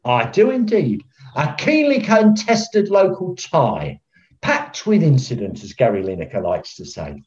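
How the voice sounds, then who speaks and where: An actor playing a pompous, eccentric veteran football referee, theatrical and self-righteous, on a video call. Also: male voice, medium pitch, big pitch swings, measured pace, darkish tone. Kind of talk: filmed comedy acting on a video call. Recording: dull, lo-fi video call audio.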